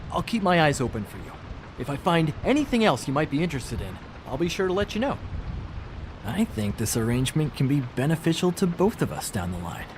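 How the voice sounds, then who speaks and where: An actor playing a slippery small-time thief in a video game, talking in glib, ingratiating, hedging patter. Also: male voice, a little high, very animated, fairly quick, background noise. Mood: evasive, smarmy.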